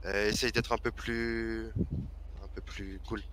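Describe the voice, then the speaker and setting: A man's voice: fairly low pitch, level tone, a little slow. A man arguing casually in slangy, repetitive phrases over online game voice chat. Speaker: fellow role-play gamer. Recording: very noisy, clicky game voice chat.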